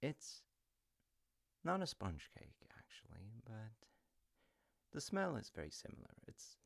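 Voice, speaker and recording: A man acts out an affectionate, easygoing father in a cozy audio roleplay. His voice sits very low with a gravelly creak, and it moves up and down in a lively way. He speaks softly and tenderly, often dropping toward a breathy half-whisper. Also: slow pace, heavily acted. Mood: playful, cozy. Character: gentle, affectionate mythical dad, homely and easygoing. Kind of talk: intimate comfort audio roleplay.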